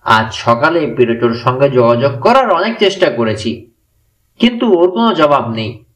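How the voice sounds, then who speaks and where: A man's voice: medium pitch, sing-song, moderate pace, a bit bright. A man voicing an anxious, remorseful middle-aged woman in an audiobook, speaking in an earnest, confessional manner.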